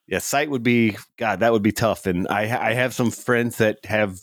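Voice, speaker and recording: A man's voice: fairly low pitch, fairly even intonation, moderate pace, fairly bright tone, no room sound, clean studio sound. A man co-hosting a casual podcast chat, talking in an easygoing way with frequent "you know". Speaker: podcast co-host.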